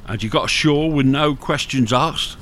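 An actor playing a gruff, impatient, secretive criminal who is wounded and in pain, in a radio crime drama. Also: male voice, medium pitch, moderate pace, fairly bright tone, a little hiss or hum, close to an everyday voice. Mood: guarded.

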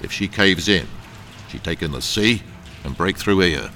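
Low, creaky, menacing crime boss played by a man. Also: animated, normal speed. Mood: commanding. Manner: smoothly threatening, commanding. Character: cold, menacing male criminal boss running a mine. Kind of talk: radio thriller acting.

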